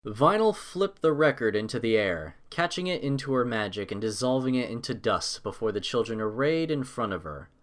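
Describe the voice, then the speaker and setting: A man's voice: medium pitch, lively intonation, moderate pace, few pauses. A man narrating a scripted story with a dramatic storytelling manner.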